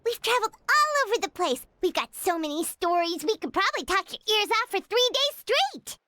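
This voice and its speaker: A tiny floating companion creature in a game cutscene, with a very high, squeaky voice that bounces all over the place. She is excitable and boastful and refers to herself in the third person. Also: moderate pace, no room sound, clean studio sound, exaggerated voice. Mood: eager, boastful.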